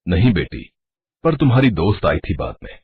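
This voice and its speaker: A man voicing a gentle, fatherly middle-aged doctor. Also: low-pitched, very animated, moderate pace, husky, no room sound, phone-quality sound, exaggerated voice. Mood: kindly. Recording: music under the voice.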